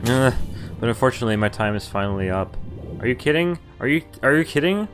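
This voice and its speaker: A man's voice: medium pitch, lively intonation, fast. Man reading a visual novel aloud, music behind. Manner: dramatic read-aloud broken by incredulous asides. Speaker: game streamer. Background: game music.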